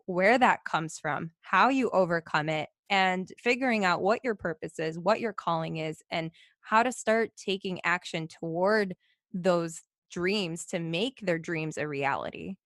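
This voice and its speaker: A woman's voice: on the low side, measured pace, a bit bright, no echo, clean studio sound. A woman hosting a podcast, chatty and enthusiastic, swearing casually as she responds to her guest. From United States. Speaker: podcast host.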